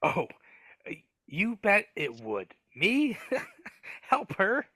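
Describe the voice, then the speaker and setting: A man voicing a nervous, cowardly dwarf servant who stammers and speaks in rough grammar, in a live reading over a video call. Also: high voice, wildly swooping pitch, extremely slow, bright, forceful tone, no room sound, full character voice. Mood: flustered.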